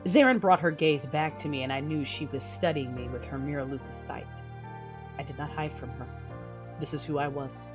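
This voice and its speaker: Fallen Jedi turned Sith played by an actress: deep, husky woman, defiant and intense, narrating a sci-fi audio drama. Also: normal speed, over music, close to an everyday voice. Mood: wry, reflective.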